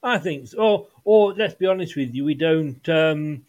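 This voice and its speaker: A man hosting a fan podcast, passing questions around in a relaxed and hesitant way. His voice sits a little higher than most men's. His talk is loose and dotted with fillers. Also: moderate pace. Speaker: podcast host. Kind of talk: casual chat.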